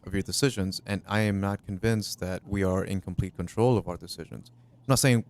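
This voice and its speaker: Low-voiced reflective man in his thirties. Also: steady tone, brisk, a little mellow. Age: 30s. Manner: reflective, thinks aloud with restarts. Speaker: co-host. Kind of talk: casual late-night chat.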